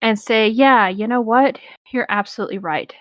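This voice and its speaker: A woman's voice: on the high side, moderate pace, a bit bright, no room sound. A woman chatting casually and reading a story aloud, in an informal, rambling way.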